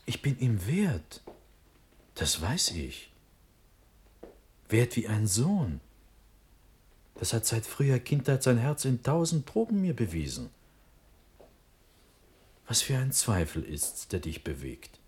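An actor playing an impulsive, trusting young Prussian prince in a classical verse radio play, with declamatory, impassioned delivery that moves from urgent to hushed. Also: male voice, mid-range voice, wildly swooping pitch, takes it slowly, pauses often, breathy edge, exaggerated voice. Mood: fervently trusting.

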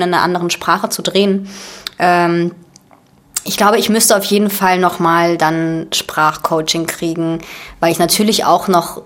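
A woman in her twenties from Germany talks in a relaxed, chatty way. Her voice sits a little low. She often restarts her sentences as she talks through an interview. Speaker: actress and guest. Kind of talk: casual chat.

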